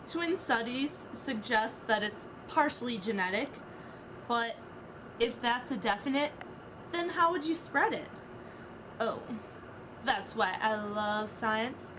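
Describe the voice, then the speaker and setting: A young woman with a high, light voice reads rap verses in a rhythmic, dramatic way, swapping lines back and forth with a partner. The homemade recording carries a steady wash of hiss and room noise underneath her. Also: takes it slowly. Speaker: student reader. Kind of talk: dramatic reading of rap lyrics.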